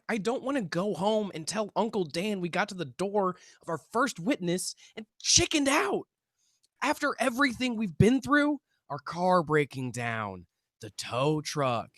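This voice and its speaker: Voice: mid-range voice, fairly bright tone, dry recording, studio quality. A performer playing an earnest, persuasive young male paranormal investigator in a script table read. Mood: pleading, persuasive.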